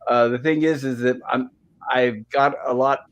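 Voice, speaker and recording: A man hosting a casual livestream chat, polite and welcoming, with a slightly raspy throat irritated by smoke. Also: mid-range voice, fairly even intonation, normal speed, fairly bright tone. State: throat irritated by smoke, holding back a cough. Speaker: livestream host.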